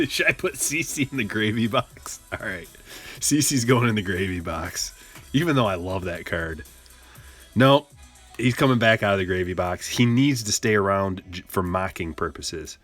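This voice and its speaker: Low-voiced joking man on a livestream. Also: a little slow, a bit bright, faint music behind. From Michigan. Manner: playful, joking, rambling banter with chat. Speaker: card-break streamer. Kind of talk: casual livestream chat while opening cards.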